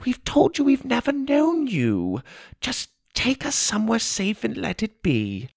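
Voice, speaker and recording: A man voicing a weary older mother fairy who speaks softly and pleadingly. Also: extremely high pitch, wildly swooping pitch, measured pace, creaky, no echo, clean studio sound, voice pushed up, exaggerated voice. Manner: soft, pleading.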